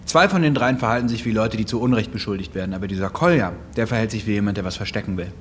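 An actor playing a cocky, dry-witted police detective in a radio crime drama, with a glib, flippant manner. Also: male voice, on the low side, racing, slight background noise, natural voice. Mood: smug, explaining.

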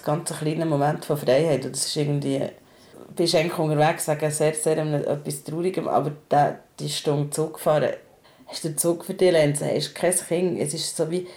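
Woman, Swiss German accent, very low pitch, candid, colloquial, casual conversation. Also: a little mellow. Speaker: podcast host, parent.

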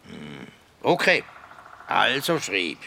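A man playing an eccentric, cryptic forest spirit in a children's radio play, with a Swiss German accent and a gravelly voice. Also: fairly low pitch, wildly swooping pitch, sharp tone, slight background noise, full character voice. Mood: musing, playful. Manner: slow, drawn-out, cryptic.

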